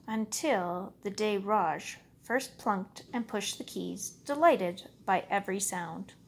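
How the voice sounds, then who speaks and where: A woman reading a picture book aloud as a storyteller, with expressive, measured, dramatic phrasing. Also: mid-range voice, creaky, slight background noise. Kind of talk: expressive read-aloud narration.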